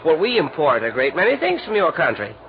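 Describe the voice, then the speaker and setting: A flustered male store manager from a 1940s radio comedy. His high voice leaps up and down as he stammers and exclaims. The old recording is slightly dull. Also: wildly swooping pitch, brisk. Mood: smitten.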